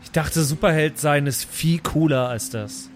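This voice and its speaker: A man's voice: a little high, a little slow. A man playing a tabletop role-playing game, bantering casually in improvised dialogue.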